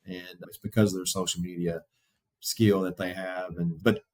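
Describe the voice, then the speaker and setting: A man's voice: sits low, flat delivery, normal speed, a little mellow. A man who competes in barbecue, a podcast guest telling a long, rambling story in a casual way. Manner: talkative, rambling anecdotes.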